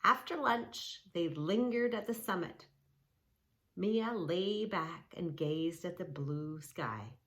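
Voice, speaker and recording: A woman reading a children's book aloud and gently guiding kids through yoga poses, soothing and instructive. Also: fairly low pitch, expressive, slow pace. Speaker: kids yoga teacher and reader.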